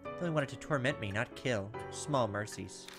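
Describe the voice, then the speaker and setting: A man's voice: medium pitch, normal speed, over music, close to an everyday voice. A man voicing a brash, blunt young woman who survived a spirit attack in a horror game. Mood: offhand.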